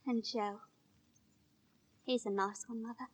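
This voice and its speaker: An actress playing a chirpy, naive young working-class daughter, in 1940s radio drama style. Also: female voice, a little high, steady tone, takes it slowly. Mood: chatty, curious. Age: young adult. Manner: bubbly, giggly, naive.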